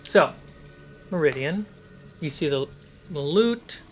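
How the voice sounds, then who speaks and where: Male game master, higher voice, conversational tabletop fantasy narration and rule calls, light music underneath. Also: expressive, takes it slowly.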